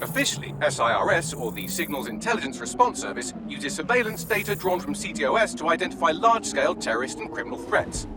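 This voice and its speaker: An actor voicing a wry, sardonic AI assistant, giving crisp briefings in a video game. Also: male voice, sits high, sing-song, quick pace, few pauses. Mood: wry, brisk. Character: chipper, sardonic male AI assistant. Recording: loud street and traffic noise.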